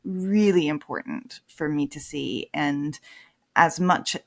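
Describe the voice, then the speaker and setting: A woman in her thirties, a priest and author, speaking candidly in an interview and swinging from soft reflection to emphatic stress. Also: deep voice, slow.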